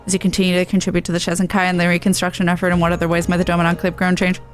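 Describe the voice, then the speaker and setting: A woman's voice: lowish voice, monotone, rapid-fire. A woman streaming a game, reading dialogue aloud and dropping in playful, casual asides. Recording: music under the voice.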